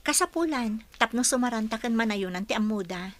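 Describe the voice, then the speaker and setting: An actress playing a polite, earnest young office worker in a radio drama, with an Ilocano Filipino accent. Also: female voice, a little high, expressive, brisk, close to an everyday voice.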